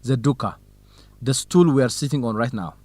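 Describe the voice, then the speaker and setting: A man playing a warm, helpful young student researcher in an educational radio drama. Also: mid-range voice, moderate pace, no room sound, close to an everyday voice. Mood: helpful, friendly.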